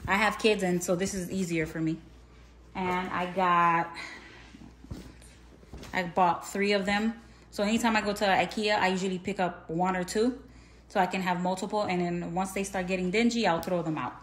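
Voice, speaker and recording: A woman's voice: lowish voice, steady tone, normal speed, a little hiss or hum. Chatty woman filming her home, echoey. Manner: chatty, rambling, self-correcting. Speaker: home vlogger. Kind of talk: casual clean-with-me narration. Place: large bright home, kitchen and playroom. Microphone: handheld phone camera.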